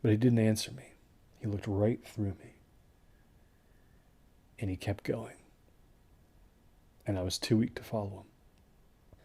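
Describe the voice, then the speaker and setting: An actor playing a traumatized, exhausted man recounting a doomed desert trek in a halting, hushed horror audio drama narration. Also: lowish voice, steady tone, breathy edge, heavily acted. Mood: dazed, hushed. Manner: halting, hushed, haunted delivery.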